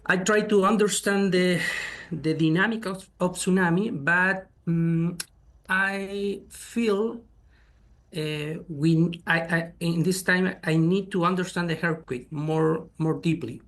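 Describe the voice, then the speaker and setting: A middle-aged man with a Chilean Spanish accent, a guest researcher answering interview questions in halting English with many fillers and restarts. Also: sits high, fairly even intonation, fairly bright tone.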